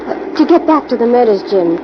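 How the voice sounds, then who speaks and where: Inquisitive female sidekick, played by a woman, fairly high voice, eager questioning, noisy muffled vintage radio recording. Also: animated, a little fast, lightly acted. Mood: curious. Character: inquisitive, bright young female detective's assistant. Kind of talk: 1940s radio acting.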